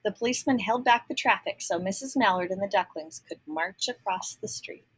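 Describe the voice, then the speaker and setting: A woman reading a story aloud to young children, pausing to explain words and ask questions. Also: medium pitch, breathy edge, low-quality recording. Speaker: picture book reader.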